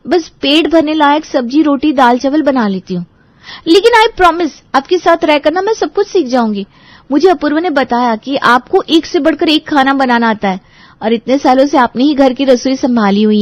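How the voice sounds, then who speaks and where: A woman's voice: sits high, dry recording, close to an everyday voice. A woman playing a polite, earnest young bride-to-be in a family audio drama, with slightly dull sound. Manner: respectful, earnest. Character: polite, earnest young bride-to-be meeting her sisters-in-law.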